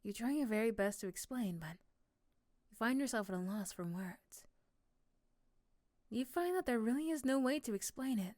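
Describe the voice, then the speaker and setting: A woman narrating a scripted ASMR romance in the second person, softly and often dropping to a whisper. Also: a little high, expressive, normal speed, long pauses, dry recording, studio quality.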